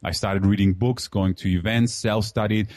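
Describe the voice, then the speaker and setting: A man in his thirties who grew up in Germany, talking through his life story, candid and loose, full of "you know" and "man." His voice sits low, and the sound is close and dry with no room around it. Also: fairly even intonation, normal speed, a little mellow, no room sound. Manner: candid, filler-heavy, calls host "man". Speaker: guest entrepreneur. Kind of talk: casual interview storytelling.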